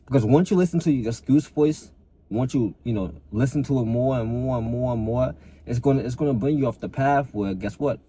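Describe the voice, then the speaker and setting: A man's voice: mid-range voice, a little fast, mellow, breathy edge, poor audio. A young adult man talking casually to camera as a fitness coach, earnest and motivating, often repeating and restarting words.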